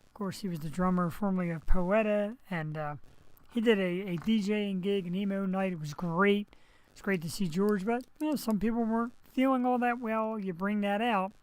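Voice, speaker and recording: A man hosts a live webcast talk show through a headset microphone. His voice is raspy and hoarse after surgery. He rambles in a chatty way, talking straight to his viewers. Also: high voice, normal speed, rough recording. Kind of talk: casual live webcast monologue.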